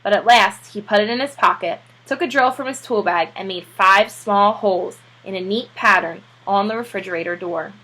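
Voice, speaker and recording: A woman narrating a children's storybook aloud like a teacher, with expressive delivery. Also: mid-range voice, normal speed, hardly any pauses, low-quality recording.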